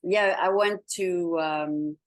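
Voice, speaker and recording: Low-voiced chatty woman hosting an interview. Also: takes it slowly, dry recording. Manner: chatty, rambling, broken grammar. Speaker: interview show host. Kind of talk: casual interview.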